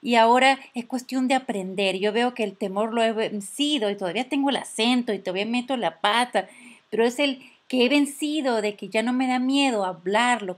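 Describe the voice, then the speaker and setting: A woman host, earnestly sharing her own experiences and asking the guest questions. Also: fairly high pitch. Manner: earnest, personal and anecdotal. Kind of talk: interview talk show.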